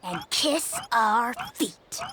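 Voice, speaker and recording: A woman's voice: high-pitched, huge pitch swings, very slow, noisy background, heavily acted. A woman voicing a tiny, arrogant, boastful dragon lord in a video game, with pompous, theatrical delivery. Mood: smug, pompous. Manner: pompous, grandiose, theatrical threats.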